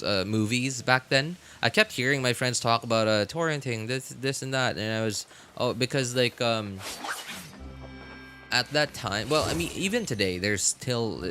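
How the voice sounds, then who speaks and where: A man's voice: lowish voice, sharp tone. A man chatting casually as a game streamer, rambling and thinking aloud to his viewers. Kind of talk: casual rambling livestream chat.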